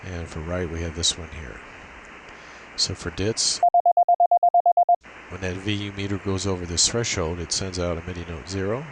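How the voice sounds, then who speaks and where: A man with a deep, low-pitched voice talks through a technical demonstration. He explains methodically, one step at a time, without a script. A clear layer of hissy noise sits behind his voice. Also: moderate pace. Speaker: amateur radio demonstrator. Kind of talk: unscripted technical walkthrough. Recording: steady hiss and noise behind voice.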